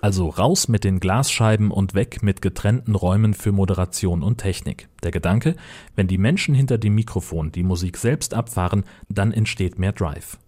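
A man's voice: deep voice, moderate pace, a little mellow. A man narrating a scripted report as a radio reporter.

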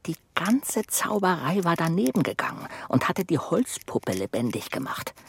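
Casting: wry tiny woodland storyteller, husky and lively, pausing for suspense; children's radio drama. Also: male voice, medium pitch. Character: wry little creature living in wood, telling the story.

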